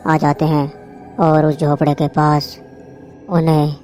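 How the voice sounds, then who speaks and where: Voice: mid-range voice, completely flat delivery, slow, darkish tone, soft background music. A narrator reading a scripted horror story.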